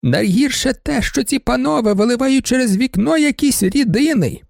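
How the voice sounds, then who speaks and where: A man reading an audiobook, voicing a shrill, hysterical older baroness who shrieks her complaints. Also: lively intonation, normal speed, creaky, a little mellow, dry recording, clean studio sound, full character voice. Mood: shrill complaining. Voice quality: shrieking, piercing. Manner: overwrought, complaining. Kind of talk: audiobook character voice.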